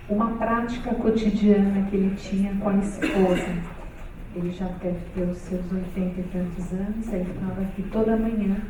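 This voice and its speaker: A woman's voice: mid-range voice, dark tone, a little hiss or hum. A woman moderating a seminar panel on a stage, talking casually with many fillers and restarts. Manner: hesitant, many fillers, conversational. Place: large hall with stage. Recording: echoey hall, far from mic.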